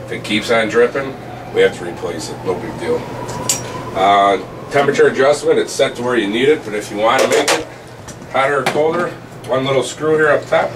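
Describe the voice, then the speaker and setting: A man's voice: medium pitch, brisk. A middle-aged man, a plumber, casually walking through shut-off valves and showing how they work, step by step. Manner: casual, plain-spoken, explaining step by step. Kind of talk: walkthrough training demo. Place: utility rooms of a commercial building, indoors. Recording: distant camera mic with air conditioning noise.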